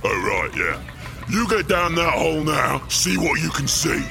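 A man playing a big, dim, boastful orc boss in an audio drama, with a blustering working-class London accent and gravelly voice. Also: fairly high pitch, big pitch swings, measured pace, music in the background, full character voice. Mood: smug, scheming. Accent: English, working-class London. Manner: blustering, rough ungrammatical speech.

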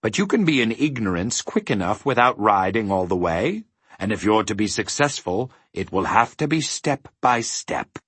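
Man performing a stubborn storybook mathematician, bombastic, declamatory, very animated pitch, dry close sound. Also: normal speed, slightly rough, no room sound, full character voice. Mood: brisk, instructive. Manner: emphatic, declamatory, swinging from bellows to ominous hush. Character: bombastic, stubborn mathematician ruler, thundering and ominous.

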